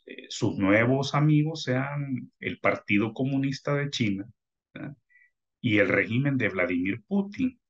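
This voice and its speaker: An older man, an international analyst, speaking slowly and deliberately over a video call, with many hesitant pauses. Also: lowish voice, fairly bright tone, breathy edge. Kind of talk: remote news interview. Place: home office by video call. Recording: dull lo-fi video call audio.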